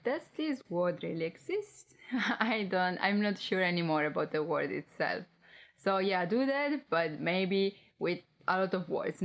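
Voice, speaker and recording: A young woman with an Italian accent, a native Italian speaker, giving a casual, chatty language lesson. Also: on the low side. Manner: relaxed, chatty, mixes Italian phrases with English explanations. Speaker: language teacher.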